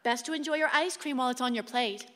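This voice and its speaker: A woman's voice: high voice, quick pace, sharp tone. A woman acting as a dreamy mother speaking in riddles in a radio play's afterlife scene. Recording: long hall reverb.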